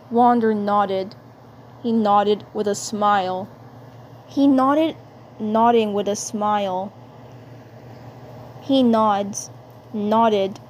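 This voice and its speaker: A woman narrating an amateur fandub story in a halting way, often repeating her phrases. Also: mid-range voice, a little mellow. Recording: noticeable background noise.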